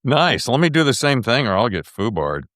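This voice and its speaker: A middle-aged man hosting a casual interview show, talking in an excitable, geeky and slangy way. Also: medium pitch, normal speed, dry recording, clean studio sound. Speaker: podcast host.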